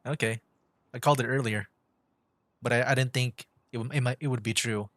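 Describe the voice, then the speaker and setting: A man's voice: medium pitch, fairly even intonation, a little fast, a bit bright, no room sound. Casual male streamer reacting to a story; wry asides, dead-dry home mic. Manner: thinking aloud, chuckling, sarcastic asides. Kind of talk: casual game stream commentary.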